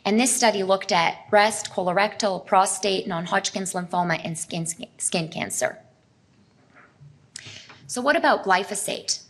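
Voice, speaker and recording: A middle-aged woman presents to a live audience, earnest and explanatory. Her pitch sits at an ordinary middle height for a woman, and she now and then turns to ask the crowd a question. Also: a little slow. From Serbia. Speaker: speaker at a health expo.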